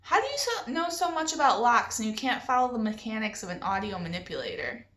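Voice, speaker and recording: A woman's voice: a little high, big pitch swings, a little fast, fairly bright tone. Earnest, easily frustrated young inventor, read by a woman for a children's story, roomy echo. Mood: proud, frustrated.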